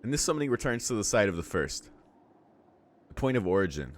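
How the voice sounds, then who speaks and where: A man hosting a visual novel read-along, switching between narrating the text aloud and casual, halting reaction commentary. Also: fairly low pitch, big pitch swings. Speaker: let's-play host reading a visual novel aloud and reacting.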